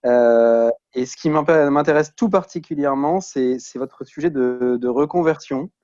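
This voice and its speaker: Man in his thirties, mellow, lo-fi call. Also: mid-range voice, normal speed. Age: 30s. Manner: hesitant, repeats words. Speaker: alumni participant. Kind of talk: casual self-introduction on a video call. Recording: dull lo-fi video-call connection.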